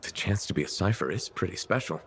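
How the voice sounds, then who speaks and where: Low-voiced, direct game hero. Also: male voice, lively intonation, fast talker, a bit bright, faint music behind. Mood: curious. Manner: direct, dry, questioning. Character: steady, plain-spoken male freelancer hero, dryly amused. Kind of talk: naturalistic video game acting.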